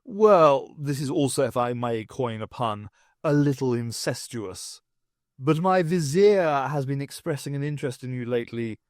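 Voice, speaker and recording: A man doing a booming, jolly fairytale king. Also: animated, normal speed, no echo, clean studio sound, close to an everyday voice. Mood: jovial. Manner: booming, genial, self-satisfied. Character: jovial pompous fairytale king, older man. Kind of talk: fan audiobook character voice.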